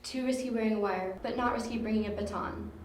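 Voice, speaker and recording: A woman's voice: fairly high pitch, steady tone, quick pace, lightly acted. A woman playing an impatient young stakeout partner in an amateur audio drama, questioning and skeptical. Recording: echoey room, distant mic, faint noise.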